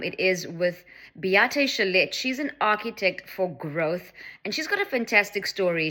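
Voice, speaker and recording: A woman's voice: fairly low pitch, sharp tone. A woman hosting a podcast, introducing an episode in an upbeat, chatty way. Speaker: podcast host. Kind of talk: casual solo intro.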